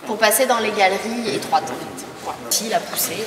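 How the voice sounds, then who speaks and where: A woman's voice: mid-range voice, very animated, brisk, recorded from a distance. A tired woman with a cold, guiding a group through a museum and quizzing them with questions. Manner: explanatory, quizzes visitors with questions. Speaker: museum tour guide. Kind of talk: guided tour explanation to a group. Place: indoor mining museum hall. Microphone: far camera recording a group tour. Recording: echoey hall, voice from afar, noisy.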